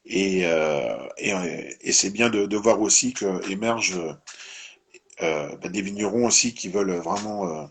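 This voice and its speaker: Male live-stream host, husky, rambling and informal. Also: lowish voice, expressive, measured pace. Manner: meandering, tags sentences with "hein". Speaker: host of a live winery presentation.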